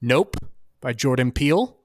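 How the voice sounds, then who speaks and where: A man's voice: medium pitch, lively intonation, unhurried, a little mellow, dry recording, clean studio sound. A man co-hosting a casual movie podcast, full of playful jokes and banter. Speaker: podcast co-host.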